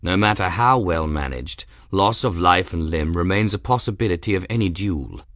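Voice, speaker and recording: A man voicing a grave, formal male feline master of arms with measured, ceremonious speech in an audiobook. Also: low-pitched, lively intonation, a bit bright, dry recording, clean studio sound. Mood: solemn formality. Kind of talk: audiobook character voice.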